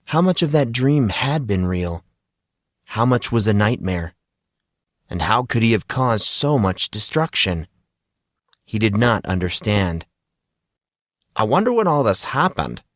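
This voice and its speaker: A man's voice: on the low side, expressive, a little slow, pauses often, no room sound. Dry-sounding male audiobook narrator. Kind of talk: scripted fiction narration.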